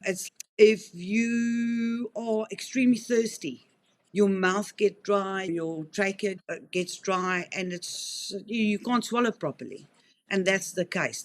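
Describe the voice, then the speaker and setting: A South African woman in her fifties explains things in an earnest, talkative way. She often backs up and restarts her sentences. She sounds like a wildlife rehabilitator answering interview questions. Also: on the low side. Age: 50s.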